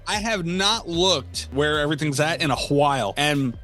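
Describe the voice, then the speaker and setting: A man's voice: on the high side, lively intonation, moderate pace, bright, forceful tone, soft background music. A man hosting a podcast in casual banter, joking with profane exasperation. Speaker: podcast host.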